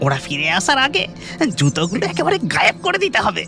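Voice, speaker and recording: A woman's voice: medium pitch, huge pitch swings, fairly quick, gravelly, pushed and bright, faint music behind, exaggerated voice. A woman voicing a sly, greedy beggar thief in a cartoon story, gushing and scheming.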